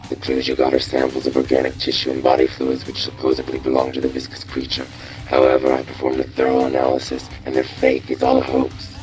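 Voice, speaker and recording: An actor voicing a grave, secretive robot informant in a video game, cautious and conspiratorial. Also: male voice, extremely low pitch, monotone, quick pace, over loud music, rough recording. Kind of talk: video game cutscene acting.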